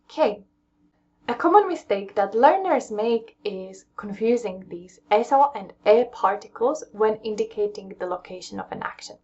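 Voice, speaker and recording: A woman's voice: medium pitch, lively intonation, normal speed, a little mellow, dull audio. A young woman with an English accent, patiently teaching a language lesson at home and checking in with her viewers as she explains. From England.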